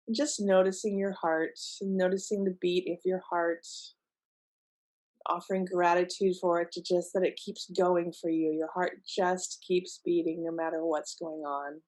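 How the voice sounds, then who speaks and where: A woman leading a guided relaxation in a gentle, unhurried, soothing manner with pauses between instructions. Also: on the low side. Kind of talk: guided meditation, softly instructive.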